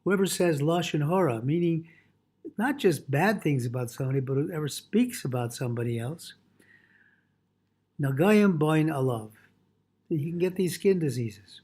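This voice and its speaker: A male rabbi delivers a religious lecture, reading and translating a text a phrase at a time. His voice is husky and mellow, sitting a little higher than average for a man. The delivery is halting and explanatory, with Hebrew quotations woven through. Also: lively intonation. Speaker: rabbi teaching Torah.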